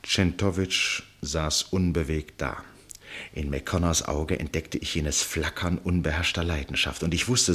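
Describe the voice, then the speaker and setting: An actor narrating a literary radio play as a keenly observant, fascinated storyteller, with dramatic pauses and hushed phrase endings. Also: male voice, low voice. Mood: gripped, tense. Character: male storyteller, keen fascinated observer of a chess match.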